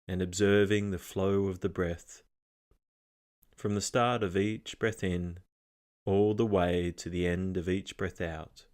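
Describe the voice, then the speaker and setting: Male meditation guide, deep register, gentle and measured scripted narration. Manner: calm, measured, gently instructing.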